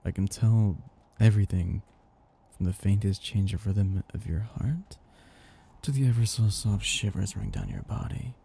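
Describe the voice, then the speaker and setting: Casting: male actor as ancient vampire master for ASMR roleplay, very dark and breathy, gravelly edge, possessive, teasing. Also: on the low side, sing-song, moderate pace, full character voice. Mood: seductive, menacing. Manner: languid, teasing, condescending endearments, soft chuckles. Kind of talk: intimate ASMR roleplay monologue.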